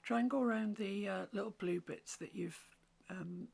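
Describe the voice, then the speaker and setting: A woman with a croaky voice, teaching a watercolour painting tutorial in soft, often half-whispered instructions. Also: mid-range voice, normal speed. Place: home room with a mantelpiece.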